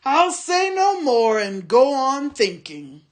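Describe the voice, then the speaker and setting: A woman's voice: sits high, big pitch swings, slow pace, pitched above the performer's own voice, heavily acted. A woman voicing a small, sly male orc tracker who snarls and whines. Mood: snarling, complaining. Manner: snarling, whining.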